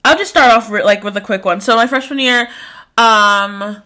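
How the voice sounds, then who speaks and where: Bright, high-voiced young woman chatting. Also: normal speed. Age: 20s. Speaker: podcast co-host. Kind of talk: casual chat and storytelling.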